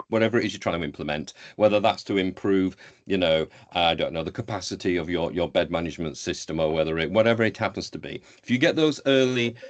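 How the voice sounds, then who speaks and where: A middle-aged man with a deep voice and a Yorkshire accent. He talks at a quick clip, like a seasoned webinar panellist, leaning emphatically on key words and repeating them for stress. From Yorkshire, England. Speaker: healthcare IT professional, panel speaker. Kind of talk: conversational webinar panel answer.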